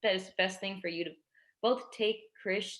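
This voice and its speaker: A woman playing a tactful, apologetic primary schoolteacher in a staged drama reading, hesitant and gently persistent. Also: medium pitch, fairly even intonation, moderate pace, close to an everyday voice. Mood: careful, concerned. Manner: hesitant, careful, conciliatory.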